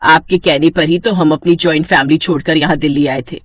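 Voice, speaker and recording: A woman's voice: low-pitched, steady tone, a little fast, no room sound. Lady doing a middle aged mom, practical, scolding but fond, nagging, moral story cartoon, dry close sound. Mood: exasperated. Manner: chiding, nagging. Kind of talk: animated Hindi moral story dialogue.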